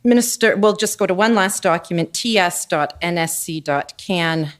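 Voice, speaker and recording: A woman with a somewhat low voice examines a witness at an inquiry. She is methodical, reading out document references slowly with frequent fillers. Recorded on a desk microphone in a hearing room. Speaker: lawyer questioning a witness. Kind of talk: formal examination at a public inquiry.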